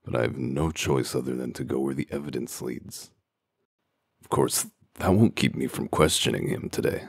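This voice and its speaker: A man playing a gruff, cynical, humorless wolf detective in a comic dub, with a deadpan, blunt growl. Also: moderate pace, no room sound, studio quality, exaggerated voice. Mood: dry, blunt. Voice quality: very deep, gravelly growl. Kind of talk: dramatic comic dub acting.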